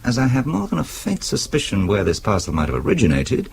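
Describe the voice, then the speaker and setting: An actor playing a dry, wry, unflappable husband with an arch, ironic manner in a radio drama. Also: male voice, fairly low pitch, huge pitch swings, fast, vocal fry, darkish tone, full character voice. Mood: wry amusement. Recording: noisy old radio drama recording.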